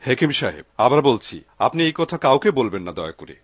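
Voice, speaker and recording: An earnest, kindly uncle in a cartoon folk tale. His medium-pitched voice is measured, in a close, dry recording. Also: very animated, husky, dry recording. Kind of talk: cartoon dub acting.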